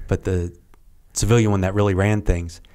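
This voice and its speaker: Deep-voiced man rambling through a story. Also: flat delivery. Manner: rambling, halting, many restarts and trailing off quietly. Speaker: podcast guest, retired Navy serviceman. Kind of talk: casual interview storytelling.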